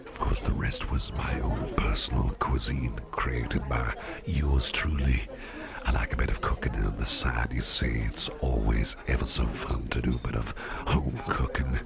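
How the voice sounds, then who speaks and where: Man playing an extremely deep, gravelly devilish boss. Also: fairly even intonation, full character voice. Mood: pleased, gracious. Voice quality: very gravelly, breathy murmur dropping into whispers. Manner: courtly, unhurried, repeats phrases appreciatively. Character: suave devilish male businessman boss, doting and gracious. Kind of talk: soft-spoken ASMR roleplay. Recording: party crowd murmur behind voice. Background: crowd chatter.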